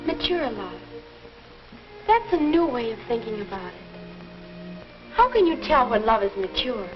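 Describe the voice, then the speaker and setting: An earnest American teenage girl in a 1950s educational film. Her voice is fairly high and girlish, full of curiosity, on a slightly dull old soundtrack with music behind it. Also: sing-song, measured pace. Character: earnest, curious teenage daughter learning about love. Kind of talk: 1950s instructional film acting.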